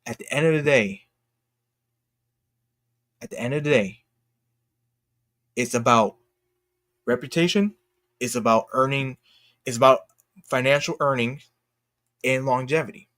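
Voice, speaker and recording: A man giving casual, opinionated livestream commentary in a blunt, emphatic way, haltingly repeating his phrases for emphasis. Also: mid-range voice, fairly bright tone, dry recording, clean studio sound. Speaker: streamer and commentator.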